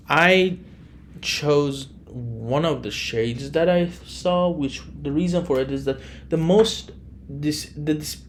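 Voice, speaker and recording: Slow-talking Iranian man hosting a podcast. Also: mid-range voice, a little hiss or hum. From Iran. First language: Farsi. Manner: unhurried, with frequent restarts and self-corrections. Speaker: podcast host. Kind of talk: solo podcast monologue.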